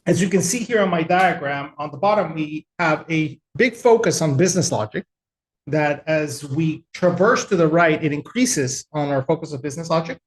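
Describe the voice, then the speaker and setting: A man from El Salvador giving a relaxed, conversational technical webinar with frequent fillers. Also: fairly high pitch, moderate pace, roomy sound, rough recording. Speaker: webinar presenter.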